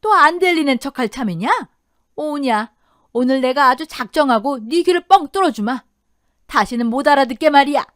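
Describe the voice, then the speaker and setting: A woman's voice: high voice, lively intonation, moderate pace, fairly bright tone, no room sound, clean studio sound, pitched above the performer's own voice. A woman voicing a cruel older mother-in-law in an audio drama, sneering and snarling accusations in a furious temper. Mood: accusing, threatening.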